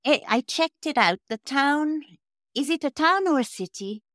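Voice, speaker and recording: A woman hosting a podcast interview, asking her guest questions with curiosity in an unhurried, friendly way. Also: high voice, animated, fairly bright tone, no echo, clean studio sound. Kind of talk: casual interview conversation.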